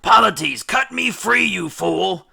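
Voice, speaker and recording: Storybook sea captain, played by a male reader: frantic, commanding, raised pitch, forceful delivery. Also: animated, slow pace, gravelly, breathy edge, full character voice. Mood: desperate, furious. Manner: shouting, imperious, desperate.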